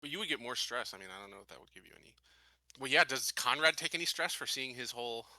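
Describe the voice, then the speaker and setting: A man's voice: medium pitch, expressive, quick pace, pushed and bright, dry recording. A man chatting casually as a player in a tabletop roleplaying game. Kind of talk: casual chat.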